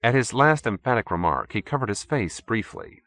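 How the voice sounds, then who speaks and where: A man's voice: medium pitch, expressive, normal speed, a bit bright, no room sound. A man reading fiction as an audiobook narrator, in scripted storytelling style.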